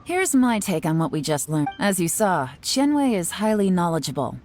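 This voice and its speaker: A self-assured game official with a fairly low voice. She weighs things analytically and coolly, slightly teasing, over clearly audible music. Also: big pitch swings, moderate pace, a little mellow. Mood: composed. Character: shrewd, self-assured woman official assessing candidates. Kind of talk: video game voice acting.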